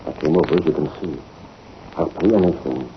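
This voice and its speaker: A man's voice: rumbling low, brisk. An actor playing a crooked, rattled man being blackmailed in an old radio crime drama. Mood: desperate. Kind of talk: 1930s-40s radio crime drama acting. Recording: muffled, noisy old radio recording.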